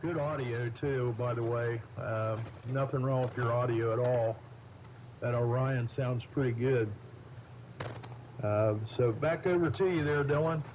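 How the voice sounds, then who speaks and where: A middle-aged or older man chatting casually as an amateur radio operator, halting and folksy, heard over a radio transmission. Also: mid-range voice. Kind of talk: casual ham radio chat. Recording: narrow-band AM shortwave radio, faint static.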